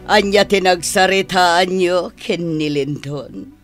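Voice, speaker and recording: A woman's voice: fairly low pitch, takes it slowly, faint music behind. A woman with an Ilocano accent acting in a radio drama as a coquettish, money-loving wife who wheedles. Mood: coquettish, wheedling.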